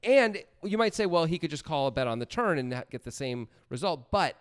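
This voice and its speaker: A man's voice: a little high, animated, fairly quick, clear, resonant tone. A man co-hosting a discussion show, arguing his points in an informal, emphatic conversational way. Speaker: poker podcast co-host analysing hands. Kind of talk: conversational commentary and analysis.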